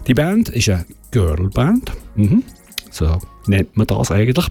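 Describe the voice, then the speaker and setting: A man's voice: fairly low pitch, huge pitch swings, unhurried, warm tone. A man with a Swiss German accent hosting a dance music radio show, chatting loosely and correcting himself as he goes. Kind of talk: casual music radio presentation.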